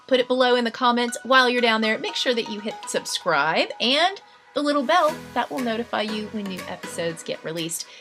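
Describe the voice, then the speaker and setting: A woman's voice: a little high, fairly bright tone. A woman hosting an interview show, gushing and enthusiastic with her guest.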